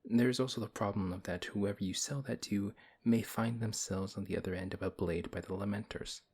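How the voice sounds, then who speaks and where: A big fantasy paladin, acted by a man with a very low, husky voice. He is principled and wary, talking in a measured, dryly skeptical manner as in an ASMR roleplay, reluctant but willing to help. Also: steady tone, darkish tone. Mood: stern, skeptical. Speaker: audio roleplay performer. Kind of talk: ASMR audio roleplay acting.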